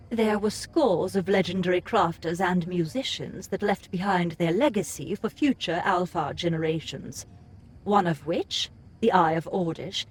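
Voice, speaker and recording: A game system voice, husky, mid pitched, formal and measured, reading out quest notifications. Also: female voice, a little mellow. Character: formal, impassive female game system announcer. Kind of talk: scripted audiobook game notifications.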